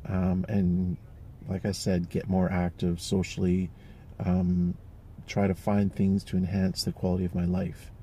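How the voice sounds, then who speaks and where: A man's voice: sits low, completely flat delivery, a little slow, dark tone, slight background noise. A man in his forties talking casually and reflectively to camera, rambling with many fillers and restarts. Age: 40s. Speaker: vlogger.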